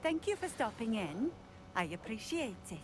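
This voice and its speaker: A woman's voice: a little high, big pitch swings, moderate pace, background noise. An actress playing a courteous female shopkeeper in a video game, welcoming customers politely. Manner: polite, welcoming sales talk.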